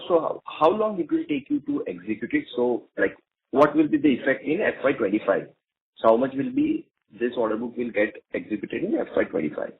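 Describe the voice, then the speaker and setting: Male Indian analyst, husky mid-pitched voice, hesitant phrasing, phoning into a conference call on a narrow-band line. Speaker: analyst caller. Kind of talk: earnings call questioning. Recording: muffled, dull conference phone line.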